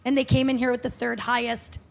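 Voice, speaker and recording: A woman's voice: fairly high pitch, level tone, quick pace, creaky. A woman talking casually and emphatically as a podcast guest and coach. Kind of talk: casual live podcast talk. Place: live show before an audience. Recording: noisy live venue recording.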